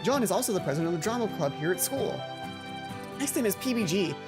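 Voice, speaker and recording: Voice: medium pitch, big pitch swings, quick pace, over loud music, close to an everyday voice. A let's player voicing a gossipy, boy-crazy teenage schoolgirl in a visual novel, chatty and confiding. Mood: informative, gossipy.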